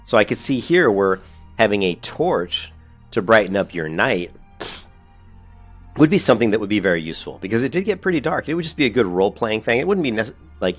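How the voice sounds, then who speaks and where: Very deep-voiced congested man. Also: fairly bright tone. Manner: rambling, self-correcting, upbeat. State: congested with a cold. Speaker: gaming video host. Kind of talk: casual commentary reading a website.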